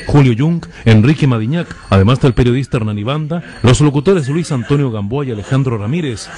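A male reporter with a Chilean accent narrates a television news piece. His pitch is medium and the delivery is scripted. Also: a little mellow.

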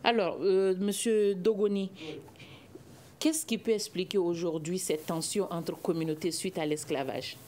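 A middle-aged woman hosting a studio debate, asking questions in a clear, formal way. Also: lowish voice, steady tone, unhurried, mellow, a little hiss or hum. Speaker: host. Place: television studio. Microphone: desk microphone.

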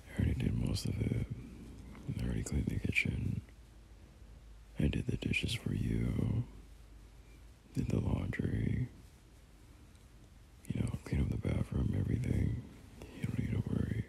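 A man playing a gentle, doting boyfriend in an ASMR roleplay, half-whispering soothing, hesitant reassurance in a breathy murmur. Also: extremely low pitch, wildly swooping pitch, a little slow, long pauses, a little hiss or hum, exaggerated voice. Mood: tender, soothing. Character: gentle, doting boyfriend comforting an unwell partner.

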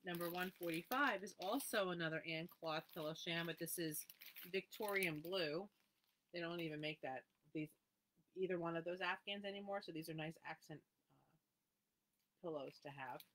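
A woman's voice: fairly low pitch, moderate pace, a bit bright, slight background noise, poor audio. Chatty woman selling needlework live. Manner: chatty, reads out item numbers and prices, talks to viewers by name. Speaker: host of a live needlework sale. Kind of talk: live sales presentation, casual chat.

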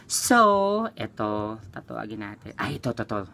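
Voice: medium pitch, a little fast, creaky, husky. A Filipino vlogger with a playful, giggly way of talking, chatting casually while making prank phone calls.

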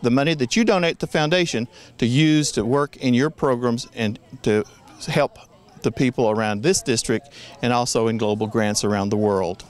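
A man in his sixties, a district governor, giving an earnest prepared address outdoors in the woods on a handheld mic. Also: medium pitch. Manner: earnest, measured, with small restarts. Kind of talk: prepared address to club members. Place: outdoors in a wooded forest. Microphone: handheld mic held near the chin.

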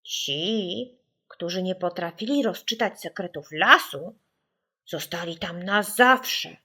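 A woman's voice: fairly low pitch, slow, bright, forceful tone, breathy edge, exaggerated voice. A woman voicing a young girl who tells a spooky fairy tale in a children's audiobook, emphatic and mysterious. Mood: grave, emphatic.

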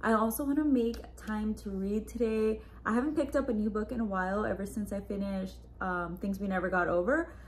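A woman's voice: medium pitch, a little fast, hardly any pauses, a little hiss or hum. A young woman in her twenties chatting casually to the camera at home, upbeat and full of "like" and "just". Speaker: lifestyle vlogger. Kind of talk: casual vlog chat to camera. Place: home living room and bedroom.

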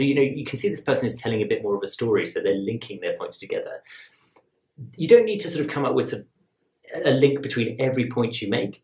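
A man in his thirties speaks to camera as an admissions tutor, measured and conversational, often checking in with a quick question. His voice sits a little low. The recording carries a noticeable roomy echo. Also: darkish tone. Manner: measured, conversational, rhetorical asides. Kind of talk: explaining to camera, advice lesson.

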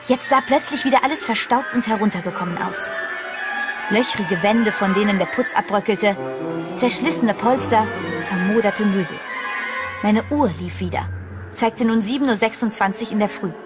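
Woman playing frightened young woman, horror audio drama, dramatic and suspenseful, medium pitch, music underneath. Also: normal speed, natural voice. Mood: tense, bewildered. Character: frightened young woman narrating her hotel horror ordeal. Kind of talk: German horror audio drama narration and acting. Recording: music behind the voice.

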